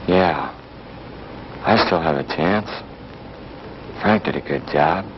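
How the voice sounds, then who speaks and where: Slow, very deep-voiced captured agent. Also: male voice. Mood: stubborn, hopeful. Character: captured secret agent Steve, stubbornly hopeful. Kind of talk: 1940s training film acting. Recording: muffled, noisy 1940s film soundtrack.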